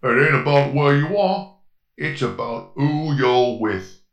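A man voicing a cheerful male Cockney robot crewmate with a slangy, rough-edged manner in a comic audio drama. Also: mid-range voice, expressive, takes it slowly, reverberant, soft background music. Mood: rallying. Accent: put-on Cockney.

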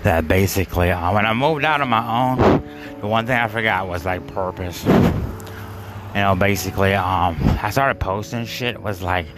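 Deep-voiced man rambling over music. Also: normal speed. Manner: meandering, heavy fillers and restarts, laughs at himself. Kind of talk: rambling personal monologue. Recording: music playing behind.

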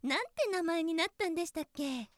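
Earnest, cheeky office lady played by a woman, radio comedy. Very high, animated, perky, recorded close and dry. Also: fast, no echo. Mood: perky, sly. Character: earnest, cheeky young female office employee. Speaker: voice actor. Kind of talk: comic radio drama acting.

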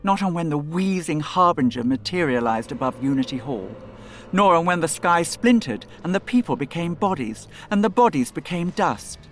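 A man's voice: fairly high pitch, expressive, normal speed, a bit bright. A man reading a grave science-fiction story as a dramatic scripted narration. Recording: music bed under narration. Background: music.

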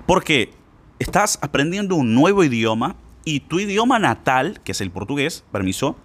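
Man, casual language teacher, very expressive pitch, slangy, pushy, talks straight to the listener. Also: moderate pace. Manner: informal, insistent, slangy, addresses listener directly. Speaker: Spanish teacher for learners. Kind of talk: casual spoken lesson.

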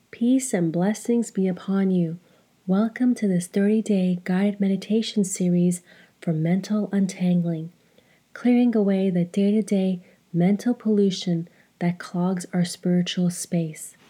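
A woman leading a guided meditation in a soft, hushed, breathy voice, speaking in a measured way with long pauses. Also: medium pitch. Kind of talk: slow guided meditation narration.

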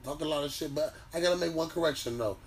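A man's voice: fairly high pitch, fast talker. An American man co-hosting a podcast with playful, slangy banter. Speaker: podcast co-host. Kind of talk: casual banter. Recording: slightly distant mic, faint noise.

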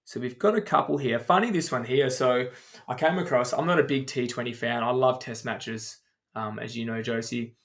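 A man's voice: mid-range voice, normal speed, vocal fry, a bit bright. Man rattling off facts. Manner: explains at length, runs through names with many "um"s. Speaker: podcast co-host. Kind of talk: casual podcast chat.

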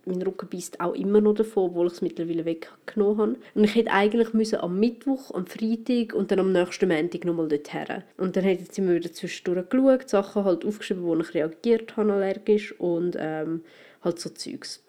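A young Swiss German woman talks on her own podcast from home, her voice hoarse and worn from a cold. She rambles loosely, doubling back and filling gaps with ums. Her tone sits dark and mellow. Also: medium pitch, a little fast. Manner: rambling, loose, full of fillers and restarts. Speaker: podcast host. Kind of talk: casual solo podcast chat. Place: at home in quarantine.

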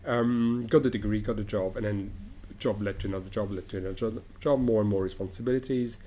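A man's voice: lowish voice, steady tone, fast, warm tone, slight background noise. A middle-aged man from Morocco, an opera director, answers interview questions thoughtfully, with frequent restarts and fillers.

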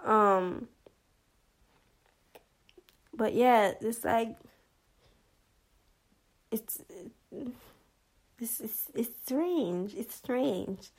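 Slow, hesitant woman vlogger. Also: a little high. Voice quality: monotonous voice. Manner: hesitant, many fillers and restarts. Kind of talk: casual commentary to camera.

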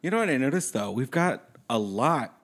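A man co-hosting a casual podcast, relaxed and agreeable, filling pauses with ums and backing his co-host with mm-hmms. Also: mid-range voice. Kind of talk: casual chat.

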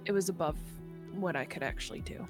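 A woman playing a defensive, defiant young mechanic in a tabletop game, explaining in a halting, insistent way. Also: fairly low pitch, music in the background, close to an everyday voice. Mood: sheepish explaining. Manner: halting, insistent, self-justifying.

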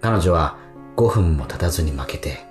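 Dreamy awkward young man, very deep voice, wistful radio drama storytelling, guitar music behind. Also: expressive, normal speed. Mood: wistful, reflective. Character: dreamy, gently awkward young man recalling his romance. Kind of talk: radio drama monologue and dialogue. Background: plucked guitar music.

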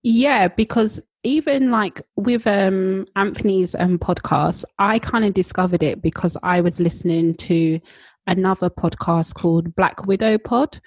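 A woman's voice: medium pitch, measured pace, darkish tone, breathy edge, dry recording. A woman co-hosting a podcast, chatting casually with many fillers. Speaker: podcast co-host. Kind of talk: casual chat.

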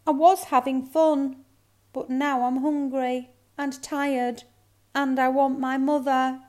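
A small boy polar bear cub from a children's story, acted out by a woman narrator. The voice is pitched well up, childlike and earnest. It has a plaintive, open-hearted manner. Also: animated, takes it slowly, darkish tone, exaggerated voice. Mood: gloomy, whimpering.

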